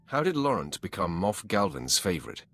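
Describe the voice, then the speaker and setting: An actor playing a cold, imperious Sith lord in a video game, gravelly and haughty. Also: male voice, sits low, very animated, moderate pace, a bit bright, full character voice. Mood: disdainful. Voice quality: gravelly, husky. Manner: haughty, commanding. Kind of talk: video game character dialogue.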